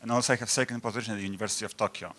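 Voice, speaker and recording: A man's voice: lowish voice, fairly even intonation, pushed and bright, slight background noise. Man moderating an academic panel. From Poland. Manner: halting, informal phrasing. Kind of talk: introducing a panel discussion.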